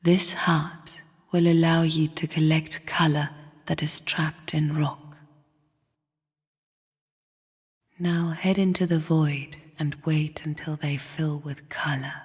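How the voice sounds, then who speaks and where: A somber, bitter game spirit, speaks in a very breathy, hushed half-whisper. Her low voice moves slowly and cryptically, trailing into long cavernous reverb. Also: fairly even intonation. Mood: cold, guiding. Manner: slow, solemn, cryptic. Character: somber, bitter sister spirit of the void, guarding her chambers. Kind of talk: video game character voice lines.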